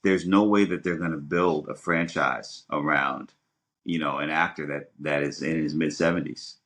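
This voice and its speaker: A man's voice: extremely low pitch, steady tone, moderate pace, a bit bright. A man co-hosting a podcast in casual chat, emphatic and repeating his words in bursts. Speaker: podcast co-host.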